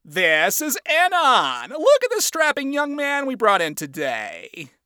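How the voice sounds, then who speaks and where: A flamboyant male imp boss acted by a narrator pitches his voice high and swings it all over. He is brash, teasing and crude, an audio-story showman recorded dry. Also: wildly swooping pitch, slow pace, bright, forceful tone, smooth, dry recording, heavily acted. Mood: showy, upbeat.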